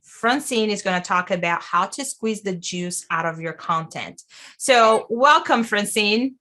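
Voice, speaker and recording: A woman's voice: medium pitch, expressive, a little slow. A woman hosting an interview show, talking in an eager, chatty way with some loose grammar. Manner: warm enthusiastic, loose grammar with restarts. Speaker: podcast host. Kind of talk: casual interview.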